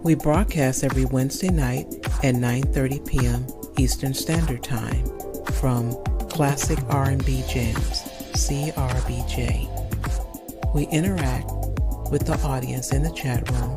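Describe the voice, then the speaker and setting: A woman host reading a scripted radio ad in a clear, promotional way. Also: rumbling low. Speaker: show host in an ad. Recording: ad with loud background music.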